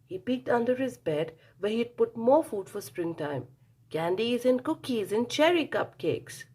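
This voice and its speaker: Slow, animated woman reading to children. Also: a little high, husky. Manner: dramatic, engages listeners with questions. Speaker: children's storybook reader. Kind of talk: expressive read-aloud for young children.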